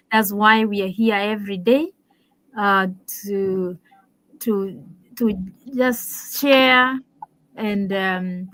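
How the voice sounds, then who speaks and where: Brief: Kenya-born woman co-host on a video call, slow and halting. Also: mid-range voice, fairly bright tone, rough recording. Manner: hesitant, many fillers and affirming hums. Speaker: teacher and panel co-host. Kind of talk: live-stream panel chat.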